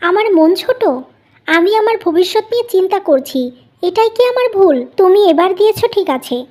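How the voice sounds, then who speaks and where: Woman playing a high-voiced, resentful young wife. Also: animated, fairly quick, a little mellow. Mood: angry, defensive. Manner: curt, accusing. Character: resentful, selfish young wife, sharp-tongued with her family.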